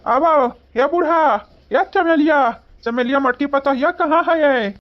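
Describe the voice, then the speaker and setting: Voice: high voice, measured pace, highs cut off. Someone voicing an old, pleading village father. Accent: Awadhi. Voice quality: pleading, fretful. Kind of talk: cartoon voice acting.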